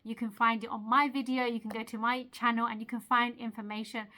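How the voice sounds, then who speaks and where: A woman's voice: on the high side, little pitch movement, a little fast, sharp tone. A young woman from Eritrea talking to camera in a casual, earnest vlog, chatty and self-laughing, asking the viewer questions. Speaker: video creator. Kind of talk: casual motivational talk to camera.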